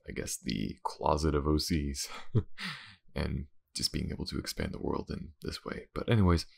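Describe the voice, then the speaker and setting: A man's voice: rumbling low, creaky, a little mellow. A man hosting an ASMR channel, talking casually to listeners in a sign-off. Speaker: ASMR voice actor and channel host.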